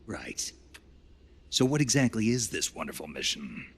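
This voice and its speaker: A man playing a gruff, wry American special forces operative in a video game, gravelly and terse, with an American accent. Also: fairly low pitch, big pitch swings, moderate pace. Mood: dry, curious. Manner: terse, dry questioning. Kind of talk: cinematic video game acting.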